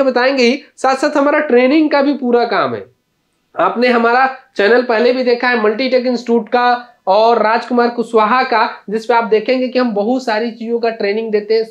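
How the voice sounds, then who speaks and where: A middle-aged man, a repair-course trainer, introduces his channel to camera in an earnest, explaining way with small restarts, standing indoors before a large screen. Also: extremely high pitch.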